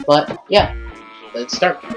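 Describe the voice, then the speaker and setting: A man giving casual commentary as he presents a gaming video, recorded dully with loud music around him. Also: fairly high pitch, lively intonation, slow. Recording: muffled mic, loud music over voice.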